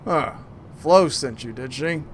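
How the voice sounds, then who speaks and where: A man voicing an older shopkeeper in a tabletop game, speaking in a measured, hesitant way over background noise. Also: fairly high pitch, animated. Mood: guarded, matter-of-fact. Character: older male shopkeeper, a digital program dealing in discs.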